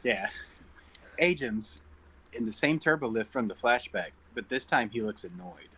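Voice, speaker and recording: Male narrator for a table read: forceful bright voice, reads scene headings and action plainly. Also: medium pitch, lively intonation, moderate pace, slightly rough, low-quality recording. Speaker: narrator reading stage directions.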